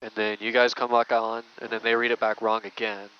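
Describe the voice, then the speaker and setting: A man's voice: fairly low pitch, flat delivery, brisk, clean tone, dull audio. A man working as a flight instructor, chatting casually as he interviews someone.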